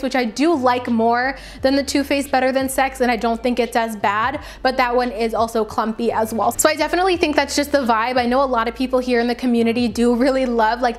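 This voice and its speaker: A woman beauty vlogger giving a casual, chatty product review to camera, emphatic and conversational, her voice slightly raspy and tired from talking. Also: on the high side.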